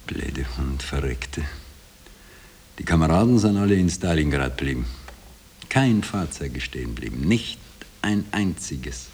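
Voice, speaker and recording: A man's voice: low voice, darkish tone. An actor playing a haunted Austrian former corporal, telling his wartime imposture in colloquial dialect in a 1960s radio drama. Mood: bitter, frightened. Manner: colloquial dialect storytelling, broken into short phrases. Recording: hissy old radio tape.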